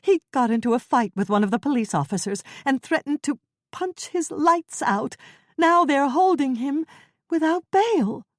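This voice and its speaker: A woman's voice: sits high, animated, moderate pace, no echo, clean studio sound, pitched above the performer's own voice. A woman voicing a fretful, naive woman in her seventies who talks in anxious, flustered bursts in a storybook reading. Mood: distraught. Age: 70s.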